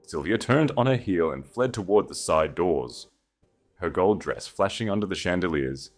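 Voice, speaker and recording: A man's voice: deep voice, expressive, moderate pace. A man reading a scripted dramatic story as an audiobook narrator. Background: faint music.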